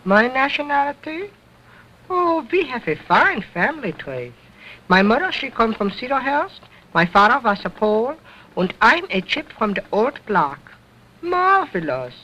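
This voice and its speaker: A man doing a fresh-mouthed little boy dummy who sasses back in broken English, his voice swooping up and down with drawn-out words. It comes through a dull, lo-fi old recording. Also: extremely high pitch, sing-song, pushed and bright, pitched above the performer's own voice, full character voice. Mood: impudent. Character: cheeky wisecracking boy ventriloquist dummy. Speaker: ventriloquist's dummy. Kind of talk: vaudeville ventriloquist routine.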